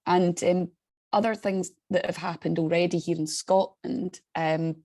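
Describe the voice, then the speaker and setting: A woman's voice: lowish voice, fairly even intonation, measured pace, warm tone, no room sound, clean studio sound. A woman speaking on a video call in a chatty, enthusiastic way. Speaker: staff member of an international alliance. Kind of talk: online meeting contribution.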